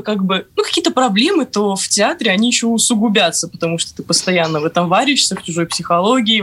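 A woman's voice: fairly low pitch, a bit bright. A young woman giving casual, reflective interview answers, hesitant and searching for the right words. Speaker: guest, young actor.

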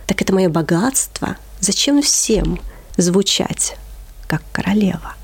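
A woman's voice: medium pitch, expressive, takes it slowly, gravelly, breathy edge. A woman, an English teacher who runs a children's theater, talking expressively as an interview guest and sometimes dropping to a whisper for emphasis.

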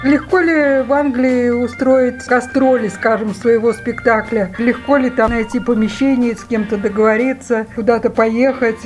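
A woman's voice: a little high, fairly even intonation, normal speed, few pauses, a little mellow, soft background music. A woman interviewer speaking conversationally, putting questions to a guest.

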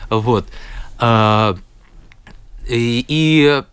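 A man's voice: mid-range voice, drawn-out words, a bit bright, smooth, dry recording. 18 y.o. guy from latvia on a talk show, lots of "uh"s, dry sound. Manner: hesitant, many filler sounds. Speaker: guest, schoolboy. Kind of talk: interview answers.

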